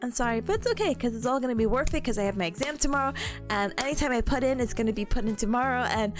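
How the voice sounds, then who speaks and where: A woman's voice: a little high, racing, soft background music. A young woman streamer chatting casually with viewers, bubbly and giggly, her voice partly worn out. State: voice partly gone. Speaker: streamer hosting a study stream. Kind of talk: casual chat reading viewer messages.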